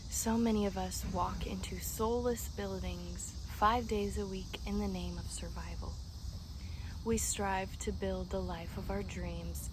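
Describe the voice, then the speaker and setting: A woman reading oracle cards in a gentle, slow, often whispery way, a little congested. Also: medium pitch. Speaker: oracle card reader. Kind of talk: soft-spoken oracle card reading. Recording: steady background noise with crickets.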